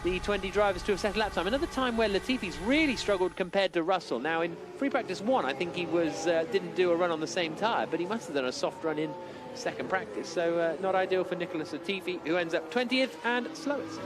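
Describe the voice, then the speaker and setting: A man's voice: high-pitched, fast, over music. A man giving live commentary on a motor racing session as the lead commentator.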